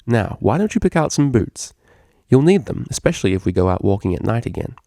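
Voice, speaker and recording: A man's voice: mid-range voice, very animated, fairly quick, gravelly, mellow, no room sound, studio quality. A man voicing a refined female pony cellist for a story, prim, polite and coyly teasing. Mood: sweetly teasing. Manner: prim, proper wording, coyly innocent.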